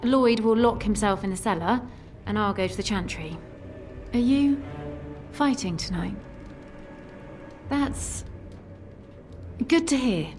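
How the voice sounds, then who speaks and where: A woman's voice: mid-range voice, takes it slowly, pauses often, darkish tone, natural voice. An actress playing a tired young barmaid who is guarded and resentful of her boss. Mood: weary. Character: weary young barmaid at a tavern. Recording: game audio over music. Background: game music.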